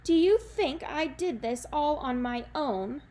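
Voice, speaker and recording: Woman playing a desperate, unhinged young man, high-strung, storybook voice. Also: animated, takes it slowly, dark tone. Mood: ranting. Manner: pleading, then unhinged ranting.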